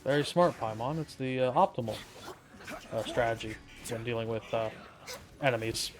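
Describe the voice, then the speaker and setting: A man with a medium-pitched voice plays a game and comments as he goes. He is offhand and wry, tossing in muttered asides in a casual streaming style, with faint game music underneath. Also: moderate pace.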